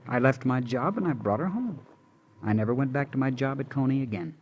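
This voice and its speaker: An actor playing a gentle, devoted young drifter in old radio drama, talking simply, earnestly and hesitantly. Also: male voice, medium pitch, lively intonation, fairly quick, muffled recording, natural voice. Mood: earnest, halting. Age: young adult. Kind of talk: 1940s-50s radio drama acting. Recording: noisy vintage radio recording.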